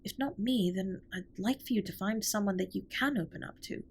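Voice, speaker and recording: A woman playing a formal, caring alien spaceship captain in an intimate audio drama, speaking in a measured, precise way with a breathy, husky voice. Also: mid-range voice, slight background noise, natural voice. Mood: concerned, earnest. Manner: formal, measured, precise wording with few contractions. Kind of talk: scripted roleplay audio drama, intimate comfort monologue.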